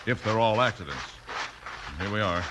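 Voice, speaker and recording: A man playing a hearty but worried sawmill owner in a 1950s radio Western, brisk and folksy as he gives orders. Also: lowish voice, lightly acted. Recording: faintly noisy old radio recording.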